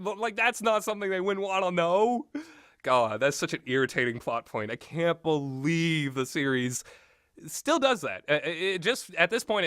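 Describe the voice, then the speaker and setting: Male game reviewer, medium pitch, dry recording, casual rambling delivery with animated, exasperated asides. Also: no echo. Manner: animated, exasperated asides, acts out imagined quotes. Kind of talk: rambling casual opinionated commentary.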